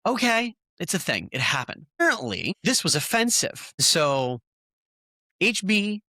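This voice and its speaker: Animated, sarcastic podcast host at home. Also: mid-range voice, measured pace, dry recording, clean studio sound. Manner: animated, incredulous, sarcastic asides. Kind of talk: casual podcast chat.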